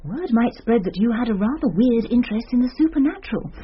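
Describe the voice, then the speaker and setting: A woman's voice: a little high, expressive, quick pace, highs cut off, slight background noise, natural voice. An actress playing a shrewd, teasing woman art teacher in a radio drama, with a British accent and a wry, playful manner. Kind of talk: naturalistic radio drama acting.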